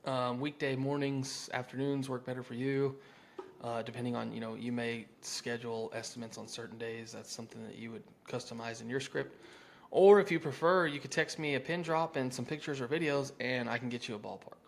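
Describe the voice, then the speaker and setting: A man's voice: medium pitch, fairly even intonation, brisk, creaky. A man with an American accent coaching contractors in an informal, conversational, salesy way, mixing instruction with sample phone-script lines.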